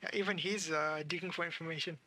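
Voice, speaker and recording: A man giving casual live game commentary as a streamer, thinking aloud with hesitant pauses. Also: a little high, steady tone, bright, forceful tone.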